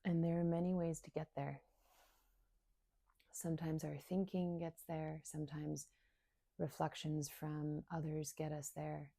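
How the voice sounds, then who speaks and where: Slow, low-voiced woman guiding a meditation. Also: level tone, vocal fry, mellow, no room sound, studio quality. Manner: unhurried, soothing, with long pauses between short phrases. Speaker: meditation guide and somatic coach. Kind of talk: guided meditation.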